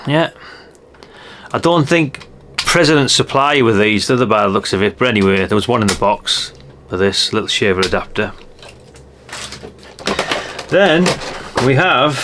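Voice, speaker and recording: A man's voice: medium pitch, noisy background. An older man chatting in a rambling way, thinking aloud through a casual hands-on product review. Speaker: radio hobbyist reviewer.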